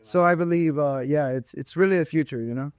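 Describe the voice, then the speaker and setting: A young man hosting a casual interview, talking in a relaxed, slangy way. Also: fairly high pitch, a little fast, clear, resonant tone, no room sound. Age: young adult. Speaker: host.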